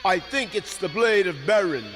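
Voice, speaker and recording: A man's voice: high-pitched, animated, normal speed, music in the background. A man acting as a theatrical, mystical wrestler and spirit guide in a video game cutscene, speaking in grandiose, solemn phrases.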